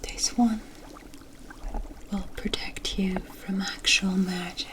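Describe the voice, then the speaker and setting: A woman voicing a mysterious, wry forest witch in a hushed, deliberate ASMR fantasy roleplay, with cryptic storybook phrasing. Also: medium pitch, little pitch movement, natural voice. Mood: knowing, cautioning. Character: mysterious forest witch, wry, knowing, offering protection for favors.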